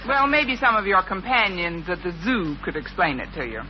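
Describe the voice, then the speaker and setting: Dull 1950s radio recording, woman acting sharp-tongued American schoolteacher, lovelorn, deadpan, very lively intonation. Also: mid-range voice, moderate pace, slight background noise, lightly acted. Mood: sarcastic. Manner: dry, deadpan wisecracking. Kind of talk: 1950s radio sitcom acting with first-person narration.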